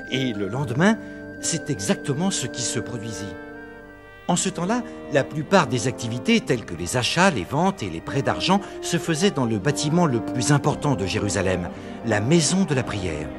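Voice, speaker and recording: Cartoon narrator, slightly high register, telling sacred history to children over prominent music. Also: male voice. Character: man recounting Gospel events to curious listeners. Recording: loud music behind the voice.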